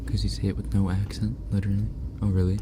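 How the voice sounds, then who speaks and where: A man streaming ASMR, chatting casually and softly with viewers, often dropping into a whisper, on a binaural microphone. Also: lowish voice, flat delivery, fast talker, background noise. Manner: soft-spoken, often whispering, chatty and self-deprecating. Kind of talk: casual ASMR livestream chat reading donations.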